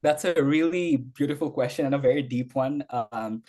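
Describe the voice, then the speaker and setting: A man's voice: medium pitch, normal speed, warm tone. A young man from Bangladesh, a university student, answering interview questions casually with frequent fillers and restarts. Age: young adult. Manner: rambling, heavy use of "like", frequent restarts.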